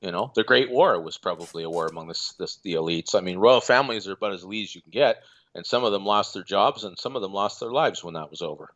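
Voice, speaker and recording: A man calling in as a guest, talking in a measured, hesitant way with many fillers. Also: low-pitched, fairly bright tone, muffled recording. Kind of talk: casual remote call-in chat.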